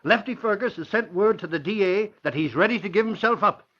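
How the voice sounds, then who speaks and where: An actor playing an excitable, slangy newspaper reporter in 1940s radio drama style. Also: male voice, high voice, fairly quick. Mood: excited. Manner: exclamatory, slangy, indignant. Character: blustery, excitable newspaper reporter tipping off his boss. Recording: muffled old radio recording.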